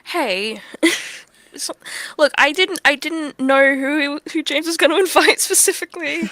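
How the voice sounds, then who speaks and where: A woman with a high-pitched, sharply bright voice chats on a livestream. She is teasing and informal, tossing in remarks as banter. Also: unhurried.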